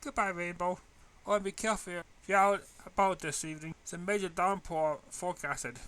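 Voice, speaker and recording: Man playing a kindly high-voiced woman teacher. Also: fairly even intonation, brisk, pushed and bright, clear, resonant tone. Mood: encouraging. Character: kindly, encouraging female schoolteacher.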